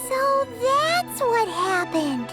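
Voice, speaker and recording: A woman voicing a tiny, childlike, non-human female companion creature in a video game, squeaky and curious, blurting out questions over game music. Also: sing-song, extremely slow, heavily acted. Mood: thoughtful. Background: game score music.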